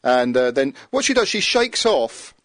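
A man's voice: mid-range voice, very animated, unhurried, fairly bright tone, no room sound, clean studio sound. A man hosting a casual chat about a TV episode, rambling along with restarts.

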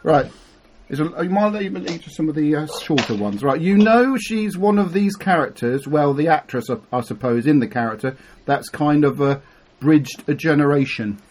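Lively jokey man hosting a quiz. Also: fairly high pitch, moderate pace, a little hiss or hum. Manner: jokey, rambling, stumbles over words. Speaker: quiz host. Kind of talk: casual quiz chat among friends.

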